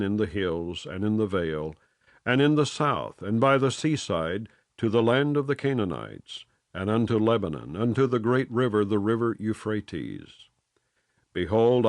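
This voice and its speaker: A man reading scripture aloud in a measured, solemn way, phrase by phrase. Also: on the low side, no room sound. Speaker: Bible reader.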